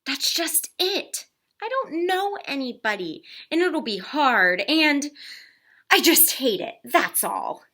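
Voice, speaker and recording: Woman acting whining, stubborn storybook Sarah, very animated. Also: high voice, slow pace, voice pushed up, exaggerated voice. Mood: sulky, anxious. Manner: moaning, stubborn, complaining. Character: Sarah, reluctant, anxious, whining female newcomer. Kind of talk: storybook character voice in a read-aloud.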